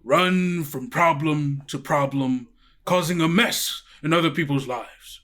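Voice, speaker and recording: A man's voice: a little high, measured pace, a bit bright. A man voicing a stern owl school principal in a tabletop game, formal, condescending and coldly authoritative. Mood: scornful. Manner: formal, haughty, belittling.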